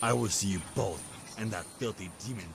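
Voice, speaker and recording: A man playing a bitter, vengeful archangel of death in a fantasy audio drama, gravelly, menacing and contemptuous. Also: lowish voice, fairly quick, background noise. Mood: enraged.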